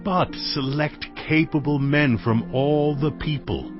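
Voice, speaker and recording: A man's voice: on the high side, slow, highs cut off. An actor playing an older priest and father-in-law in a dramatized audio Bible, a candid, wise adviser with an emphatic, declamatory delivery. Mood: firm advice. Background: music.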